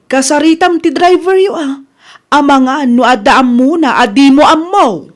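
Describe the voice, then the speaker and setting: A woman's voice: sits high, normal speed, close to an everyday voice. A woman with a Filipino accent playing a coy, demanding mistress who poses as a caring friend in a radio comedy drama. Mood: concerned, prying.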